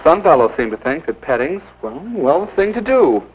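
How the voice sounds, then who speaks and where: Earnest, lively teenage boy. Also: fairly high pitch, moderate pace, slightly rough. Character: earnest, reasonable teenage boy offering advice. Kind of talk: 1950s instructional film acting. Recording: muffled old educational film soundtrack.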